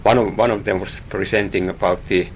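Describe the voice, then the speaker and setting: A man's voice: on the low side, steady tone, brisk, a little hiss or hum. A man from Finland, a guest in a radio interview, talking about his hobby with many small hesitations and repeated words.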